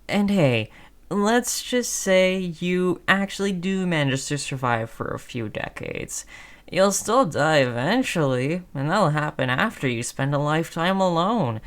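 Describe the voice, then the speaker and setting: Performer acting out a chatty friendly zombie, unhurried pace, medium pitch, cajoling, slangy, audio roleplay monologue. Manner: casual, cajoling, slangy salesman-like patter. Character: friendly, wheedling, chatty undead zombie coaxing a survivor.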